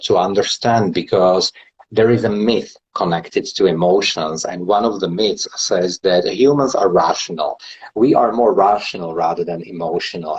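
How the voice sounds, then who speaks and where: A man whose first language is Slovak, explaining ideas calmly as an interview guest, leaning on examples and rhetorical questions. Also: lowish voice, breathy edge, lo-fi sound. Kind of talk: explanatory conversation.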